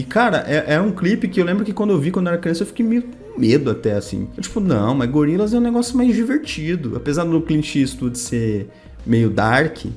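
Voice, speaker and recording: Informal young Brazilian man telling stories over music. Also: medium pitch. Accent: Brazilian, São Paulo state. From Campinas, Brazil. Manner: informal, slangy, animated retelling with quoted dialogue. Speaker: video creator telling personal stories. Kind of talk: casual personal storytelling to viewers.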